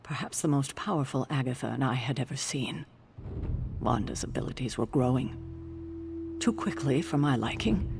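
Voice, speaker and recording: A woman playing a stern, commanding older guardian in a video game cutscene, grave, deliberate and authoritative. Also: rumbling low, animated, creaky, noisy background, heavily acted. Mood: grave, bitter.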